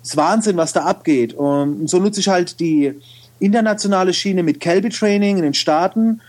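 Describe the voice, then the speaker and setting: A man's voice: high-pitched, normal speed, a little mellow. A man with a regional German dialect, talking casually as an interview guest with many fillers and restarts. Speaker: guest, photo retoucher and video trainer.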